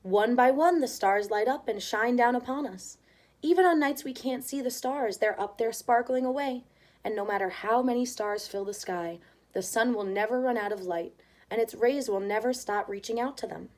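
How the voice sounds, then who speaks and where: A woman voicing a tender mother raccoon in a storybook, speaking in a gentle, motherly and reassuring way. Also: a little high, normal speed, lightly acted. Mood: gentle, explaining.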